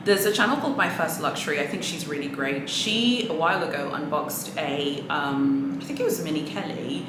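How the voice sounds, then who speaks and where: Low-voiced woman giving chatty vlog advice. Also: normal speed, reverberant, background noise. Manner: earnest, chatty, motivational, with small laughs and restarts. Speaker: luxury handbag vlogger. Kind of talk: casual to-camera advice talk.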